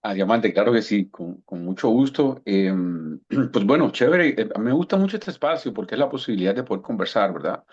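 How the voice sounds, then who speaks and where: A man in his fifties from Cuba, his accent softened by years in Colombia, speaking as a guest coach over a video call. He tells his story thoughtfully and often repeats words while he finds them. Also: on the low side, expressive, a little fast, hardly any pauses, slightly rough, highs cut off. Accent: Cuban Spanish softened by years in Colombia. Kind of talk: relaxed storytelling interview answer.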